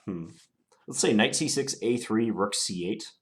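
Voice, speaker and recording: A man with a stuffed-up voice from a cold, thinking aloud through his chess moves in a deliberate, musing way. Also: lowish voice, animated. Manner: deliberate, musing, unhurried analysis. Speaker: chess player commentating his own game. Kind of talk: thinking aloud while playing.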